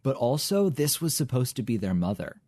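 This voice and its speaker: A man's voice: mid-range voice, very animated, moderate pace, warm tone, dry recording. A young man co-hosting a podcast, talking in a casual, articulate way with a dry wit. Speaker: podcast co-host. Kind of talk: casual review chat.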